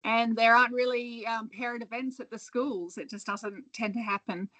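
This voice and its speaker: A woman with a fairly high voice answers a question on a video call. She speaks in a thoughtful, relaxed way, with the odd um. Her sound is dry and close. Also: normal speed, dry recording, poor audio. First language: not Dutch; Dutch is her second language. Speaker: guest speaker, fundraising expert.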